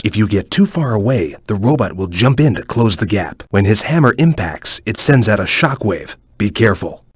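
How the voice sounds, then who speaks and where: A man playing a calm, analytical ninja chameleon in a video game, giving wary hints in a deliberate, serious, husky voice. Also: lowish voice, no room sound, studio quality. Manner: deliberate, serious, formal, cautioning. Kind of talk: video game character hint lines.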